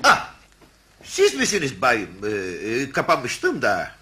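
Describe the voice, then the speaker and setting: An actor playing a flustered, money-minded showman in a radio comedy, husky and hesitant. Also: mid-range voice, slow, slight background noise, exaggerated voice. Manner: hesitant, excitable. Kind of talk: radio theatre comedy acting.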